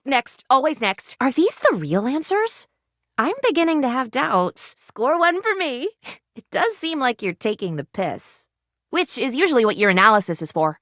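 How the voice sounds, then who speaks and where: Game role: studious, theatrical first-aid kit, prim old-fashioned diction, swooping melodic delivery, close dry sound. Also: female voice, high-pitched, fairly bright tone, no room sound, exaggerated voice. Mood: studious, perky. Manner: prim, chirpy, old-fashioned exclamations and formal phrasing. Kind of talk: video game character voice acting.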